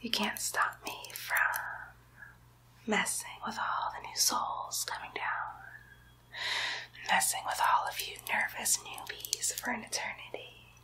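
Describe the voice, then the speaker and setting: A mischievous young demon girl played by a woman poses as a nurse in an ASMR roleplay. She whispers in a high, bright voice, coaxing and teasing with playful baby talk, and takes her time over the words. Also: steady tone, a little slow, poor audio. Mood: gleeful, mocking.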